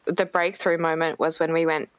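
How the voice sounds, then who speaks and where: Soft-spoken woman podcast host. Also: sits low, little pitch movement, moderate pace, no room sound. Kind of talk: casual podcast chat.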